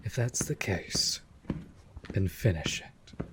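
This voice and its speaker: A man playing a possessive, proud and devoted demon lover in an intimate audio roleplay, speaking formally and intensely with a breathy, husky voice. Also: fairly low pitch, noisy background. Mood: commanding, urging. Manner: slow, formal, deliberate, intense.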